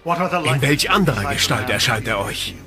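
A man's voice: mid-range voice, lively intonation, moderate pace, music in the background, natural voice. An actor playing a stern judge in a historical docudrama, pressing an accused witch with insistent, theatrical questions. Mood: probing. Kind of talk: historical docudrama dialogue in German.

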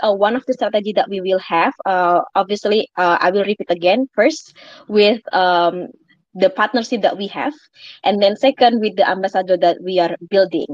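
A woman from Indonesia with an Indonesian accent, speaking English as a second language and answering questions in a live audio Q&A, pausing often with fillers. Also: medium pitch, no echo. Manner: hesitant, many fillers. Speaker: project representative answering questions. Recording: dull, lo-fi online audio.

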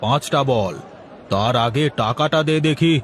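A man's voice: medium pitch, animated, a little slow, slight background noise, close to an everyday voice. A man voicing a curt, demanding village moneylender, condescending and unbending, in a cartoon. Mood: stern, threatening. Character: gruff, grasping male village moneylender, unbending. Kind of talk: cartoon character dialogue.

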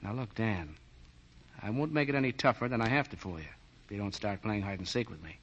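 An actor playing a tough but fair police detective in clipped, slangy 1940s radio style. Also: male voice, fairly low pitch, expressive, a little fast, fairly bright tone, slightly rough. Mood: stern, wary. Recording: muffled 1940 radio broadcast recording.

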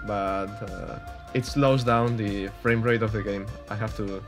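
A man's voice: fairly low pitch, steady tone, measured pace, over music. A young man from Spain with a Spanish accent, giving casual, hesitant commentary over gameplay with many fillers and restarts. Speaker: gaming YouTuber.

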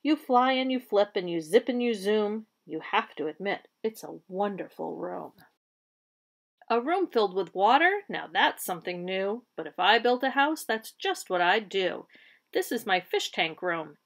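A woman reading a children's picture book aloud like a teacher, with expressive storybook delivery. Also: mid-range voice, unhurried.

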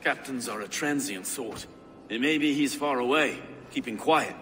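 An actor playing an earnest, anxious medieval official in a game cutscene, speaking expressively and eloquently. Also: male voice, medium pitch, normal speed, fairly bright tone, lightly acted. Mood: thoughtful. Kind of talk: dramatic game cutscene acting. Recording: reverberant hall, faint ambient noise.

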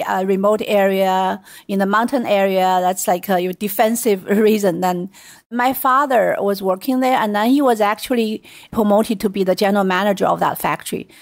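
A woman's voice: mid-range voice, no echo. Middle-aged woman with a Chinese accent, chatty interview guest, dead-dry mic. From China. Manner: chatty storytelling with light laughs. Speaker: guest, venture investor. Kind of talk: casual interview.

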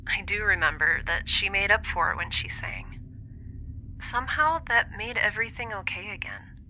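A woman's voice: mid-range voice, lively intonation, moderate pace, pushed and bright, slight background noise, poor audio. A woman playing a lonely, wistful young space traveller in an intimate audio diary drama, hesitant and introspective, often dropping toward a whisper. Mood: reflective, bittersweet. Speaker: podcast audio drama lead.

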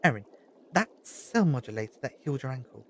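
A woman's voice: extremely low pitch, big pitch swings, a little fast, a little hiss or hum, full character voice. A woman playing a righteous, defiant time-travelling alien hero in an audio drama, earnest and emphatic. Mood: urgent. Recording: roomy echo with music behind.

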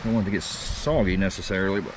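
A man in his thirties talking to the camera while cooking outdoors at a wooded campsite, rambling and easygoing as he thinks out loud. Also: low-pitched, fast, background noise. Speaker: camping cook vlogger.

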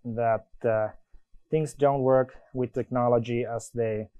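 A man from Finland with a Finnish accent, presenting a live coding demo at a conference, talking in halting phrases full of restarts and fillers. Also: medium pitch, fairly even intonation, warm tone, no room sound, highs cut off. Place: conference room.

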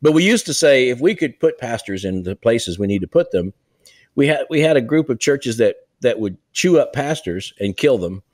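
A man's voice: mid-range voice, animated, moderate pace, darkish tone, dry recording. An older man speaking over a headset on a video call, co-hosting a casual podcast with earnest, emphatic encouragement. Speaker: church revitalization leader, co-host. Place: home room, indoors.

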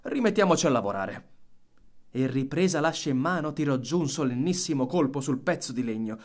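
A man reading a children's story aloud as an expressive audiobook narrator. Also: mid-range voice, brisk.

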